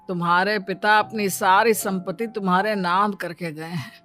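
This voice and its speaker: Woman doing a slow, gentle old servant man. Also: mid-range voice, lightly acted. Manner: pleading, gentle. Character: kind loyal old male servant.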